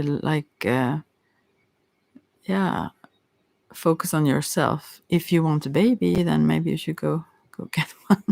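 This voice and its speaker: Slow, creaky ASMR tarot reader. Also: mid-range voice, darkish tone, dry recording. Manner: hesitant, halting, trailing off. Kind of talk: ASMR tarot reading, unscripted.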